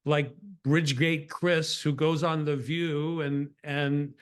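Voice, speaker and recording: A man with a voice a little higher than average hosts a conversational political interview. He sounds earnest and opinionated as he lays out his views. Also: flat delivery, takes it slowly, clear, resonant tone. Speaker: show host.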